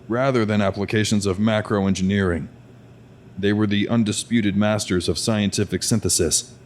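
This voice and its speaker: A man narrating scripted science fiction lore in a measured, formal manner. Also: on the low side, a little hiss or hum.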